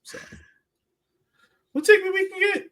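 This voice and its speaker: A man's voice: extremely high pitch, steady tone, unhurried, a bit bright. A man hosting a sports podcast in casual, joking chat.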